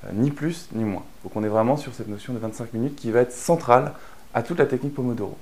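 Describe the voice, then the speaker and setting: A man's voice: mid-range voice, fast, a little mellow. A man from France explaining a productivity method in a chatty online lesson, with frequent fillers and restarts. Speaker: online course instructor. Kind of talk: explanatory course narration.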